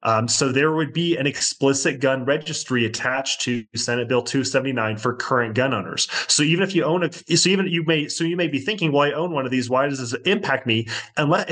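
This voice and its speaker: A male interview guest from a shooting sports association, explaining a bill in a steady, methodical way. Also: mid-range voice.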